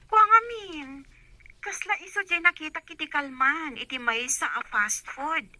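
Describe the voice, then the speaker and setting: Gossiping young friend, Filipino accent, piercingly bright high voice, radio drama, dry sound. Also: female voice, unhurried, exaggerated voice. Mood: concerned. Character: young female friend telling gossip on a call. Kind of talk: Ilocano radio drama acting.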